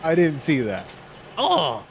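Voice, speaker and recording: A man doing live let's play commentary as a gaming streamer, chatting casually with animated, exclamatory reactions. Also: mid-range voice, moderate pace, a little hiss or hum. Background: faint game music and sounds.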